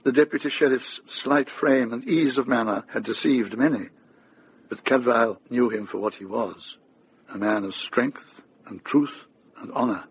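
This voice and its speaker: A man with a gravelly, husky voice narrating a radio drama in a measured, literary manner. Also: mid-range voice, highs cut off, slight background noise.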